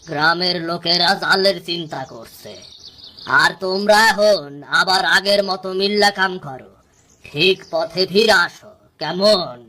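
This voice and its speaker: A woman voicing a benevolent village elder man in a cartoon, gently moralising and deliberate, with eastern Bengali dialect. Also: on the low side. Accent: eastern Bengali rural dialect. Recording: loud music behind the voice.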